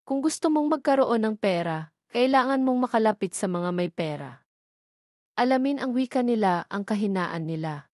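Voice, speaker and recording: A woman's voice: fairly high pitch, animated, moderate pace, a little mellow, dry recording, clean studio sound, close to an everyday voice. Female narrator as scheming female boss for audio drama: cold, commanding, terse, closely recorded. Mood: instructing.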